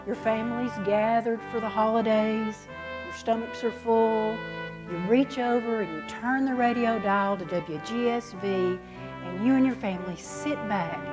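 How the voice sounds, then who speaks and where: A woman with a slightly high voice presents a scripted show from the stage. She speaks formally and expressively, like a storyteller, with music clearly playing behind her. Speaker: assistant director introducing and narrating the show. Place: theater stage before audience. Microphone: stage microphone.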